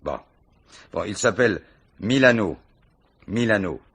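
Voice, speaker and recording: An actor playing a gruff, impatient police commissioner who is bossy and teasing with his subordinate, in a French radio crime drama. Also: fairly low pitch, expressive, unhurried, fairly bright tone, heavily acted. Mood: irritated, brusque.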